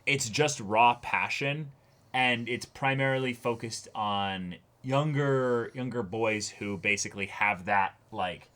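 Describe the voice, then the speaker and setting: A young man in casual discussion as co-host of a reaction show, often repeating words and acting out moments as he talks. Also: medium pitch. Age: young adult. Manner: animated, halting and repetitive, acting out moments. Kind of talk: casual chat, episode discussion. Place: small indoor room, seated before a backdrop.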